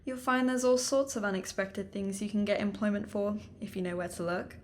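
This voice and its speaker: A medium-pitched woman played by an actress is a supernatural investigator in an audio drama. She is calm and composed, cryptic in what she gives away, guarded yet quietly protective. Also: a little fast, close to an everyday voice. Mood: guarded, wry.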